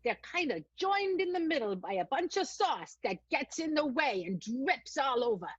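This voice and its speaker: A woman playing a determined, lovestruck former showgirl in an improvised radio soap, with a husky voice. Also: high voice, very animated, moderate pace, no echo. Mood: earnest, determined. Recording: dull, lo-fi, dry close recording.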